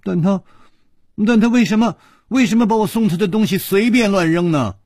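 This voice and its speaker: A man plays a young princess in a storybook tale, spirited and quick to flare up. The voice is husky and leaps up and down as the princess teases, pouts and exclaims. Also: fairly low pitch, sing-song, warm tone, no room sound, studio quality. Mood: tearful. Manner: petulant, exclaiming, stammering when upset.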